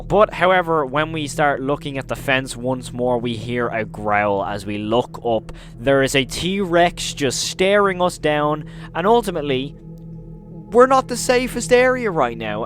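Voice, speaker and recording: Man narrating horror recaps over noticeable hum. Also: medium pitch. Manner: emphatic storytelling with repeated intensifiers. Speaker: video host and narrator. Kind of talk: casual recap commentary on horror videos.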